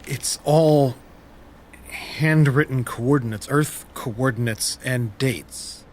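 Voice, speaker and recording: A man's voice: mid-range voice, takes it slowly, darkish tone, a little hiss or hum, natural voice. An actor playing a weary, skeptical man in an audio drama, wry, hesitant and understated. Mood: baffled, skeptical. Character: weary, skeptical sleepless male show host. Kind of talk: scripted audio drama acting.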